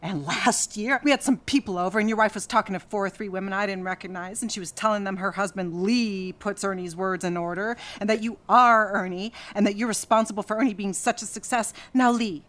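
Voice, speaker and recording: A woman playing a fiercely loyal, sharp-tongued 1930s wife, scornful and emphatic, in a period radio drama. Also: medium pitch, steady tone, a little fast, hardly any pauses, close to an everyday voice. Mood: indignant.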